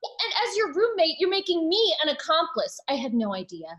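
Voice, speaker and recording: A woman's voice: very high-pitched, sing-song, a little fast, a bit bright, heavily acted. An actress playing a prim, earnest, overachieving young woman in a scripted sitcom table read. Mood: guilty, flustered.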